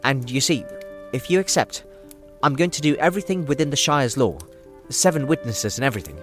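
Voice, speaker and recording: A kindly older hobbit gentleman, acted by a male narrator, fussy and affectionate. He talks in a hesitant, roundabout way with animated pitch, over music in a storybook drama. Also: a little fast, lightly acted. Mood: earnest, hesitant.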